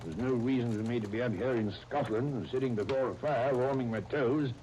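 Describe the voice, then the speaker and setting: Man acting proud older uncle, gruff and indignant, dark mellow tone, 1930s radio drama, hissy old disc recording. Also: mid-range voice, a little fast, close to an everyday voice. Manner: gruff, indignant, clipped rhetorical questions. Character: proud, grumbling older British uncle, restless and touchy. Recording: muffled, noisy 1930s radio transcription disc.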